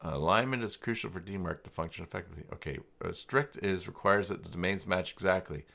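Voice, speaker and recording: Male tutorial streamer, deep register, informal and halting, talks to himself and reads off the screen, often trailing off. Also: creaky, a bit bright. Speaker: livestream host working through website email setup. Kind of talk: casual livestream tutorial, thinking aloud and reading from screen.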